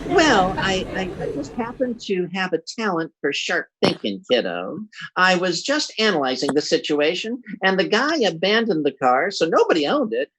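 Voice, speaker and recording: A man's voice: high voice, sing-song, moderate pace, few pauses, noisy background. A man playing a boastful, absent-minded husband in old-time radio comedy, stammering through his folksy bluster. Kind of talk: classic 1940s radio comedy acting.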